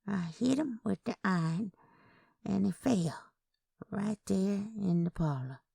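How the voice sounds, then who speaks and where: A woman voicing a frail, wistful twin aunt in her eighties with a soft, sing-song manner, in a Southern Gothic story. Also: mid-range voice, expressive, slow pace, gravelly, husky, no echo, clean studio sound, voice pushed up, full character voice. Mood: soft confession. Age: 80s.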